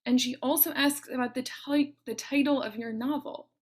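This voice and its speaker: A woman's voice: sits high, fairly even intonation, normal speed. A woman editor moderating a book club session, reading out audience questions in a friendly way.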